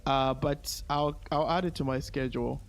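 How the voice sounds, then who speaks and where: A man's voice: mid-range voice, fairly even intonation, moderate pace. A man chatting informally as a live streamer, in a hesitant, trailing way. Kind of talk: casual live stream chat.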